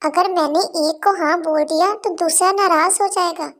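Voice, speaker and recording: A woman's voice: very high voice, fairly even intonation, normal speed, a bit bright, pitched above the performer's own voice. A woman voicing a young college woman in a cartoon moral story, sweet but blunt and practical. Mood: startled, doubtful.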